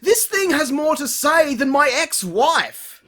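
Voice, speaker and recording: Animated sarcastic man reviewing, high-ish voice. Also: slow, very noisy. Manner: sarcastic, exasperated. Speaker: video reviewer. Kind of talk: comedic review commentary, sweary.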